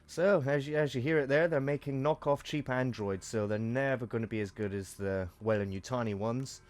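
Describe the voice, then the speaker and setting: A man with a croaky voice from a cold, chatting through gameplay commentary with joking asides. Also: medium pitch, normal speed, darkish tone. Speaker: let's play host.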